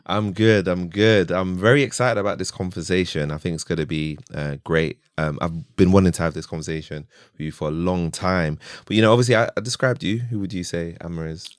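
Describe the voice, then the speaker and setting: A man's voice: sits low, steady tone, a little fast, no room sound, studio quality. A man hosting a podcast, chatting casually as he interviews a guest. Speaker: podcast host.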